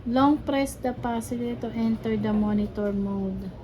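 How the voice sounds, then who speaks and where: A woman's voice: on the high side, a little slow, dark tone. A woman with a Filipino accent chatting casually and explaining a gadget hands-on in a demonstration. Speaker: vlogger unboxing and testing gadgets. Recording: clearly noisy background ambience.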